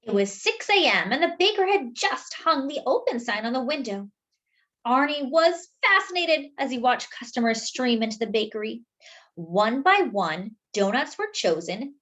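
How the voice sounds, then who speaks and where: A woman reading a picture book aloud expressively to young children, pausing to explain things as she goes. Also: sits high, sing-song, a bit bright. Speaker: children's storyteller. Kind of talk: animated picture-book read-aloud with asides.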